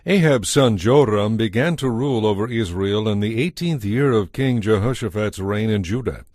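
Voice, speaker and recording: A man narrating a dramatized audio Bible in a measured storytelling manner. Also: lowish voice, darkish tone, no echo, studio quality.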